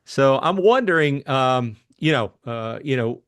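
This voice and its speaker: Male audiobook narrator and co-host, mid-pitched, highly animated intonation, for classic crime fiction and book talk. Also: a little slow. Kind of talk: scripted story narration and book discussion.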